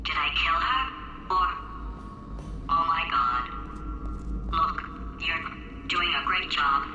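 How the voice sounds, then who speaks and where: A scheming female computer intelligence, stuck in a potato, speaks in a dry, clipped, synthetic voice. The sound rings out in a very echoey space, heard from a distance. Game music plays clearly behind her. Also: sits low, slow, heavily acted. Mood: sardonic. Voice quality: flat, clipped, dry sarcasm. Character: sardonic female AI trapped in a potato, cold and scheming. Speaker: game character.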